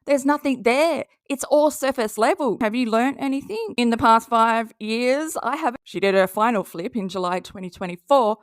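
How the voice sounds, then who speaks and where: A woman's voice: on the high side, moderate pace, few pauses, smooth, no echo, clean studio sound. A woman with an Australian accent giving casual, sarcastic commentary and reading posts aloud in a blunt, mocking way. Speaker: commentary video host.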